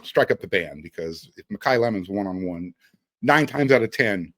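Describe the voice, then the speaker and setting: A man's voice: on the low side, expressive, normal speed, fairly bright tone, no room sound. A man hosting a casual sports talk show, giving his opinions as a fan and putting questions to a guest. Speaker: sports podcast host.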